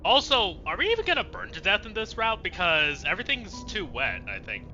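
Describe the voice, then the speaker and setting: A man's voice: high-pitched, big pitch swings, a little fast, bright, forceful tone, faint music behind. A man streaming a game with casual let's play commentary, through a dull, lo-fi microphone.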